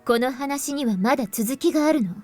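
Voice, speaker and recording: A woman voicing a composed, serious young heroine in a visual novel, speaking in a measured, explanatory way. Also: sits high, darkish tone. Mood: serious, explaining. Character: composed young woman revealing grave secrets, anime game heroine. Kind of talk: Japanese visual novel voice acting. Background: game music.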